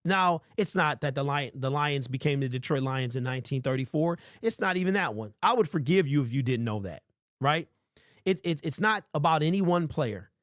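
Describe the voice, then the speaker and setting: Animated man hosting a sports show. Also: on the high side, normal speed, no room sound, studio quality. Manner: animated, rambling, calls listeners bro. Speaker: sports podcast host. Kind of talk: casual sports talk show, reading chat.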